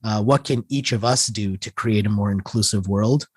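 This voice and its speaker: A man in his forties, a guest author, explaining his ideas in a measured way. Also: on the low side, slightly rough, dry recording, studio quality. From India, raised in the US. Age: 40s. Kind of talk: interview answers.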